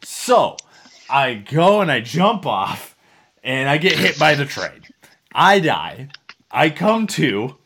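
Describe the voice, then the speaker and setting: A man's voice: a little high, very animated, takes it slowly, fairly bright tone, husky. A man telling a story casually as a podcast co-host, rambling and swearing freely.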